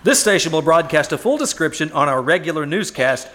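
A man's voice: on the high side, expressive, brisk, fairly bright tone. A man playing a formal, dramatic radio announcer and newscaster in a live old-time radio suspense show. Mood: grave, newsy. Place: live performance at a winery.

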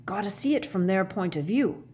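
A woman voicing a huge, shaggy, anxious man with a hoarse growl and slow rustic speech in a storybook. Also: lowish voice, animated, a little hiss or hum. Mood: heavy.

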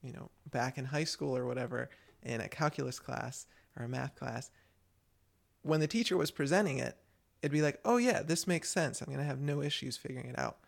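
A man's voice: mid-range voice, a little fast, vocal fry. A man co-hosting a developer podcast, chatting casually and rambling with frequent restarts. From United States. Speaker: podcast co-host. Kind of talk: casual chat.

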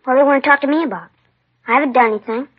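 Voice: high-pitched, racing, slightly rough. A performer playing a polite, slightly wary schoolboy in a 1950s radio crime drama, giving short plain answers. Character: polite, guileless schoolboy son, a little wary. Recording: dull lo-fi 1950s radio recording.

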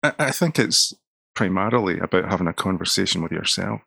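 A man's voice: low voice, lively intonation, a little fast, vocal fry, bright, forceful tone, slightly rough, dry recording. A middle-aged Scottish man, a pastor, talking earnestly in an interview and often repeating words as he starts a sentence. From Scotland. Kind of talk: conversational interview.

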